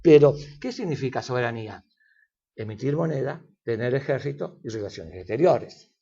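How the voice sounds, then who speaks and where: Older man, Argentine accent, expert guest, lecturing with restarts, medium pitch, slightly dull sound. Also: lively intonation, moderate pace, gravelly, dark tone, husky. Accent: Argentine Spanish. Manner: lecturing, with many fillers and restarts. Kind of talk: interview answers, explanatory.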